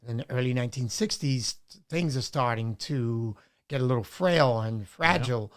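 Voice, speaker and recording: A man in his sixties from Puerto Rico, raised in New York, reflecting on his life as an interview guest. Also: mid-range voice. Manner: measured, reflective, with many fillers. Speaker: guest artist. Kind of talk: reflective storytelling interview answers.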